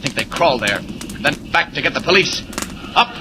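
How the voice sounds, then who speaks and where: An actor playing a resolute superhero, thinking aloud in clipped, urgent 1940s radio serial style. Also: male voice, fairly high pitch, big pitch swings, normal speed, heavy background noise. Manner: urgent, clipped, declaiming to himself. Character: resolute, righteous flying male superhero. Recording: old radio recording, loud behind voice.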